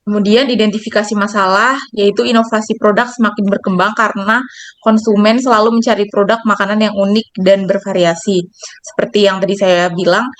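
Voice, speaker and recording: A woman's voice: mid-range voice, dry recording. A young woman student presenting her group's product in class, reading slides and repeating her points. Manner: reads slides, repeats herself.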